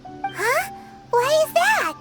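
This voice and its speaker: A woman voicing a tiny floating childlike companion in a video game, perky, eager and squeaky. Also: very animated, very slow, exaggerated voice. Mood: perky, excited. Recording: loud music over voice. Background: game music.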